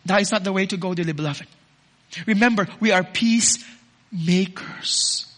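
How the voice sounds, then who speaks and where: A man preaching a sermon as pastor, moving from loud urging to soft, close asides. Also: high voice, moderate pace.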